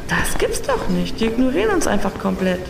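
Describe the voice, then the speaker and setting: A resolute, irritable traveller, acted by a woman, has a mid-pitched, creaky voice and a dry manner. The sound is roomy, as in a science-fiction audio drama with music behind it. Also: big pitch swings, a little fast, distant mic. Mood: determined. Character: determined, irritable woman traveller chasing alien riddles.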